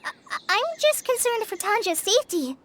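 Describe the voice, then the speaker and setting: A shy, earnest young trainee ranger who stammers and hesitates. Her voice is very high and lively in pitch. The delivery is gentle video game dialogue. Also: moderate pace, a little hiss or hum, heavily acted. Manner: timid, stammering.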